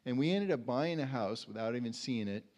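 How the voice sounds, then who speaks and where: Male preacher, 60s, medium pitch, informal and conversational, halting delivery with repeated words, handheld mic. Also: expressive, darkish tone. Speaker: preacher at a community church. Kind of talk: informal sermon with personal anecdotes. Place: indoor church stage. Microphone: handheld microphone.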